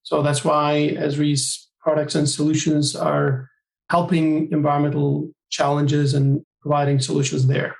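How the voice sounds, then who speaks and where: A man from Turkey, a guest on an interview podcast, explaining his work in measured, listing phrases. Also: fairly high pitch, completely flat delivery, creaky, roomy sound, rough recording. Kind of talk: interview answers.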